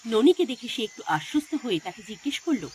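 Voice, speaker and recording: Expressive high-voiced woman telling horror stories. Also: brisk, a little hiss or hum. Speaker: narrator of an animated horror story. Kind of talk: scripted, dramatic storytelling narration. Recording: music behind the voice.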